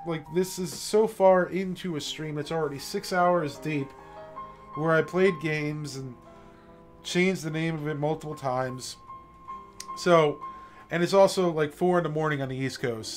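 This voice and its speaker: A man with a somewhat raised pitch delivers a passionate, emphatic monologue on a late-night stream. He often stops to check in with a pointed "okay?". Soft music plays faintly in the background. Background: faint classical music.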